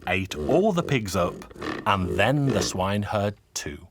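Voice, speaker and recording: A man narrating a scripted folk tale in a measured, expressive storybook manner. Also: fairly low pitch, very noisy. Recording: music under the voice. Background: music.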